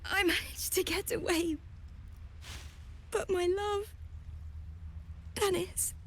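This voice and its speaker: A woman playing a frightened, grieving young refugee in a video game, tearful and halting. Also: very high voice, fairly even intonation, slight background noise. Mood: haunted, grieving. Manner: halting, fragile. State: tearful, sighing. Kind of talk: dramatic video game acting.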